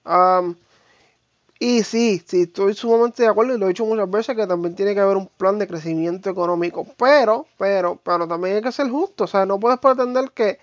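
Puerto rican vlogger just talking opinions, slangy n halting w some english fillers, kinda muffled dry audio. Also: mid-range voice, no echo. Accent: Puerto Rican Spanish. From Puerto Rico. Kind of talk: casual, opinionated monologue to viewers. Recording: dull, lo-fi, dry sound.

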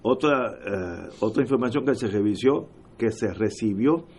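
A man's voice: fairly low pitch, lively intonation, moderate pace, darkish tone, a little hiss or hum. A man with a Puerto Rican accent hosting a political talk show, speaking emphatically and passionately and repeating phrases for emphasis. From Puerto Rico. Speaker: radio talk show host. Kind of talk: political commentary.

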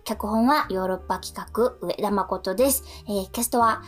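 A woman's voice: medium pitch, moderate pace, soft background music. A woman hosting a radio show, mixing casual chat with announcements.

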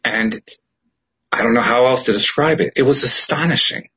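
A man's voice: mid-range voice, normal speed, pushed and bright, husky. A middle-aged man, a podcast host, talking earnestly and reflectively in a casual conversation.

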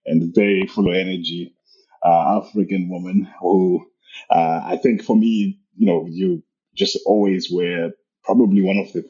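A man's voice: low-pitched, fairly even intonation, normal speed, warm tone, husky, highs cut off. A man from Zimbabwe, a podcast guest on a video call, speaking in a modest, hesitant way with fillers. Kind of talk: interview conversation.

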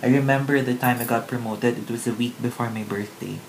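Man in his twenties, Filipino accent, relaxed and hesitant, some background noise. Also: mid-range voice, fairly quick, poor audio. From Philippines. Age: 20s. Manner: relaxed, hesitant with many ums, switches into Tagalog. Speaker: podcast guest. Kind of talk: casual podcast chat.

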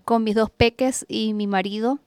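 Venezuelan woman guest, bright-ish smooth voice, flowing talk. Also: on the high side, moderate pace, dry recording, clean studio sound. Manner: expressive, flowing. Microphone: studio microphone.

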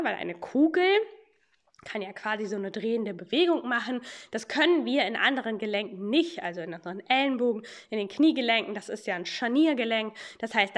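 A young woman who hosts a podcast and teaches yoga, talking in a relaxed way with a slight cold and often asking "ja?" as she explains. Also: a little high. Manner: chatty, many fillers, explains with rhetorical "ja?". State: has a cold.

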